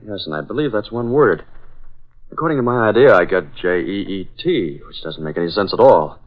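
A muffled vintage radio recording carries a man acting as a decisive American boss who secretly fights crime. His voice sits slightly low. He talks crisply and deliberately, with the melodrama of a 1940s serial. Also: expressive, lightly acted. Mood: puzzling, thoughtful. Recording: dull, band-limited old radio recording.

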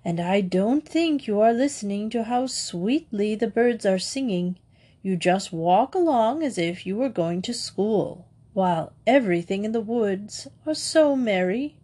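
A woman's voice: mid-range voice, animated, a little slow, mellow. A woman voicing a crafty male wolf in a storybook, coaxing and falsely sweet. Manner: coaxing, scheming. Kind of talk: storybook character voice.

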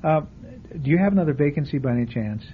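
An actor playing a tired, superstitious traveler who stammers and hesitates, in an old radio drama. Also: male voice, a little high, lively intonation, fast, a little hiss or hum, natural voice. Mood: nervous. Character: weary, nervous, superstitious traveling man. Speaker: traveling guest. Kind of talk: 1970s radio drama acting. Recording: tinny old radio broadcast.

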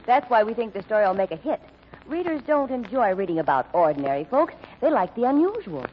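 A woman's voice: mid-range voice, big pitch swings, brisk, close to an everyday voice. An actress playing a cheerful, confident woman publisher in old-time radio style, breezy and assured. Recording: muffled, noisy old radio recording.

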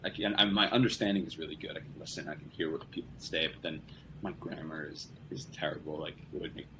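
A man's voice: a bass voice, quick pace, background noise. A young man as a podcast guest, talking casually in rambling sentences with many restarts. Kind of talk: casual conversation.

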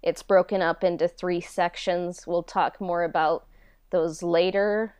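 A woman talks casually in an interview with a clear, smooth voice on the lower side. She is very unhurried, informal and a little self-deprecating, feeling her way through sentences. The sound is dry, with no room around it. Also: fairly even intonation, a little mellow, no room sound. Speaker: podcast host and audio drama writer being interviewed.

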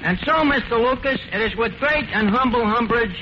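An actor playing a brash, slangy New York tavern manager who bluffs with mangled grand words in a 1940s radio comedy. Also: male voice, extremely high pitch, moderate pace. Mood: pompous, bluffing. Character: brash, fast-talking tavern manager full of big schemes. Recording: noisy, muffled 1940s radio broadcast.

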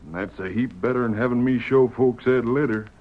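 An actor playing a scheming, gloating Old West outlaw boss with a crafty, folksy American drawl in an old radio western. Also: male voice, mid-range voice, normal speed, lightly acted. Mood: smug, pressing. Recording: muffled, noisy old radio recording.

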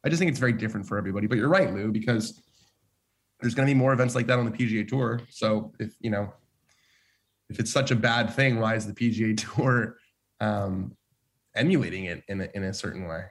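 A man on a golf panel, rambling through his thoughts and trailing off quietly. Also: on the low side, a little fast. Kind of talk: casual panel discussion.

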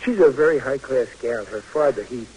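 An actor playing a big, good-natured, bashful young army private, talking in a folksy, stammering way in 1940s radio drama. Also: male voice, medium pitch, moderate pace, darkish tone, husky, close to an everyday voice, poor audio. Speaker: soldier.

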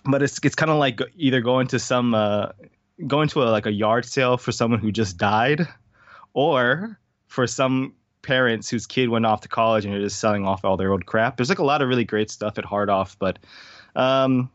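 A man's voice: medium pitch, moderate pace, no room sound, muffled recording. An American man from Hawaii chatting casually as an interview guest, rambling, slangy and joking. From Hawaii, United States. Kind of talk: casual chat.

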